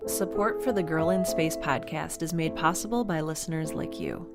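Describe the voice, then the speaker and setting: A woman's voice: low voice, moderate pace, over loud music. A woman, the show's host, reading scripted podcast credits and listener information.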